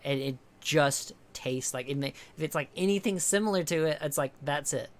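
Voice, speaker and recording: A young man from the with a higher-pitched voice, chatting casually with his stream viewers, rambling, swearing a lot and restarting often. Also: moderate pace. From American South. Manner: rambling, heavy swearing, many restarts and fillers.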